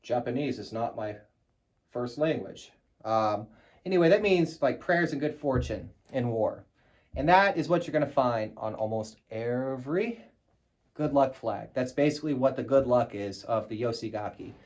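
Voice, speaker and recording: A man's voice: mid-range voice, expressive, normal speed, a little mellow. A middle-aged man from the United States casually showing and explaining a wartime flag on camera, blunt and profane, with wry asides. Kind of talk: casual show-and-tell vlog.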